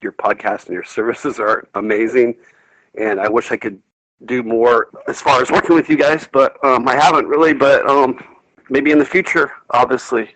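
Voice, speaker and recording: Medium-pitched man leaving an informal message. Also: fairly even intonation, normal speed, a bit bright, poor audio. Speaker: listener leaving a thank-you message.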